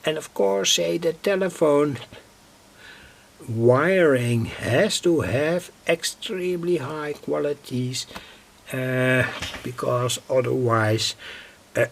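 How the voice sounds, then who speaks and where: Slow gravelly man at his workbench. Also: on the high side. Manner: rambling, self-correcting, thinking aloud. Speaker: radio hobbyist demonstrating equipment. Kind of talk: casual workbench demonstration.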